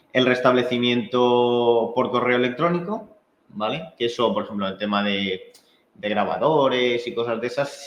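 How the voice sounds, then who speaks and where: A man with a Spain Spanish accent giving an informal technical training, explaining in a halting, conversational way with frequent check-in tags. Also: mid-range voice, slight background noise. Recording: echoey room, dull muffled sound.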